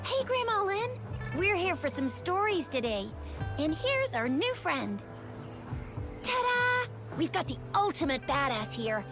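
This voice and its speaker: A woman's voice: very high voice, very animated, measured pace, over music, heavily acted. A woman playing a spirited, playful young game heroine with a theatrical, cheeky manner. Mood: excited, showy. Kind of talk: video game voice acting.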